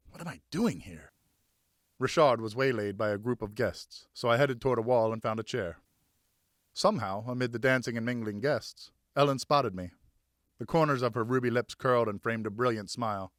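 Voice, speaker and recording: A man's voice: mid-range voice, a bit bright, no echo, clean studio sound. A man narrating a first-person story as an audiobook reader, in a scripted, dramatic storytelling style.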